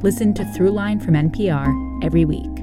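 A woman host reading a scripted podcast promo in a smooth, upbeat way. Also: fairly low pitch, fairly quick. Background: music bed.

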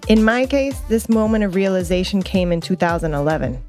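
A woman's voice: mid-range voice, lively intonation, brisk, a little mellow, faint music behind. A woman from the United States narrating with reflective, earnest storytelling as host. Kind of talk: scripted narration.

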